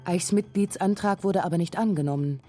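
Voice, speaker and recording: A woman narrating a scripted radio feature in a sober, measured manner. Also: fairly low pitch, warm tone, soft background music. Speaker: documentary narrator.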